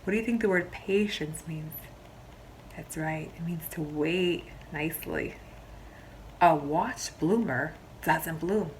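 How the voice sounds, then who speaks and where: A woman teacher reading a picture book aloud to young children, expressively, pausing to explain simply and ask questions. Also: sits low, a little hiss or hum, rough recording. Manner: expressive storybook delivery, explains simply, pauses to question listeners.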